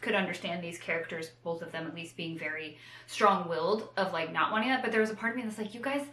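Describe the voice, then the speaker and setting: A woman's voice: on the low side, quick pace, sharp tone, echoey room. A woman in casual, unscripted chat as co-host of a book show, rambling and self-deprecating, often slipping into acted-out quotes.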